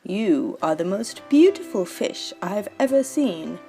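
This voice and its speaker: A woman's voice: medium pitch, very animated, slow pace, warm tone, faint music behind. A woman voicing a vain, dashing young male bird in a storybook, speaking pompously and self-assured. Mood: gallant. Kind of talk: storybook character voice.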